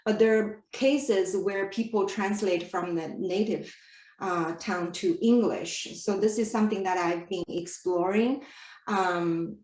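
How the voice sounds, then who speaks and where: Woman moderator, mid-pitched voice, hesitant with fillers, roomy echo. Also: unhurried, a little mellow. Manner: hesitant, many fillers, polite questions. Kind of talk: panel discussion.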